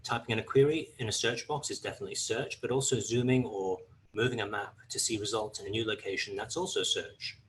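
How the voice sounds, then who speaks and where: A man presenting a webinar, giving a steady, explanatory product overview. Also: fairly low pitch, brisk, slight background noise, poor audio.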